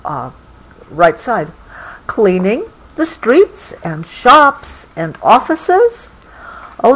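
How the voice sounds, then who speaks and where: Slow, expressive American woman reading aloud. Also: mid-range voice, breathy edge, rough recording. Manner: expressive, explanatory, patient with interruptions. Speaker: mother reading a picture book aloud to a child. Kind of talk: read-aloud storytelling with casual explanations to a child.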